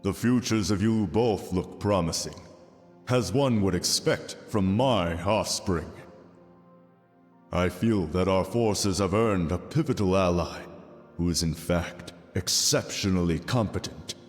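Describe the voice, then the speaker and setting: A man playing an ancient, benevolent king of the gods in an audio roleplay, speaking to the listener in a formal, measured, fatherly way. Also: deep voice. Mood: impressed, stately. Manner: formal, stately, measured delivery. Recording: long reverberant hall. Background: soft background music.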